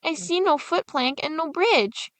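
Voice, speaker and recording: An earnest young boy, as a woman reader voices him, a raised, bright voice urging his sister on in a fairy tale. Close and dry in sound. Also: sits high, very animated, unhurried, dry recording, pitched above the performer's own voice, full character voice. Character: young boy, hopeful older brother.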